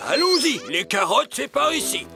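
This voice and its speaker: A wise, kindly old cartoon elf who welcomes and instructs. His pitch swings with great animation as he plays the farm guide. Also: big pitch swings, normal speed, vocal fry, over music, exaggerated voice. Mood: kindly, instructive. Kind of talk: animated children's cartoon dub acting.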